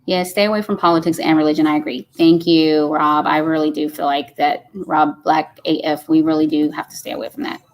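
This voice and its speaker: A woman's voice: sits low, flat delivery, a little fast, few pauses. Chatty fifty-year-old woman. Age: 50s. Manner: chatty and folksy, says y'all. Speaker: live stream host. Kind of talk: casual chat reading live comments.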